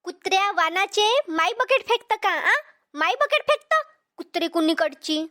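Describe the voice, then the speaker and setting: A woman voicing a pushy, combative cartoon village woman with a Vidarbha Marathi accent, defiant and insulting in a pitched-up voice. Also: lively intonation, normal speed, sharp tone, exaggerated voice. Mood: outraged. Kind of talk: cartoon comedy dialogue.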